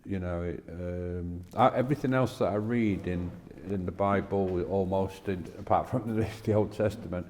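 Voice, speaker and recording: Man, fairly deep, dark mellow tone, tentative conversational podcast co-host. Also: moderate pace, hardly any pauses, big-room sound, a little hiss or hum. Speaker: podcast co-host discussing the Bible. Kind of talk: casual discussion.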